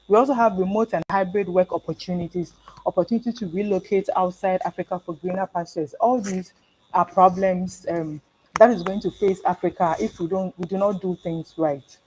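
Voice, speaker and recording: Low-voiced woman giving a measured talk. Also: steady tone, darkish tone, slight background noise, poor audio. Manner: measured, with frequent um fillers. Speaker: guest speaker. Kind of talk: prepared talk at an online event.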